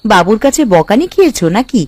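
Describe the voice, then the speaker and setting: A woman's voice: a little high, big pitch swings, fast, a little mellow, dry recording. A woman playing a blunt, anxious village wife in a cartoon, probing and exclamatory. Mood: anxious, questioning. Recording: light background music.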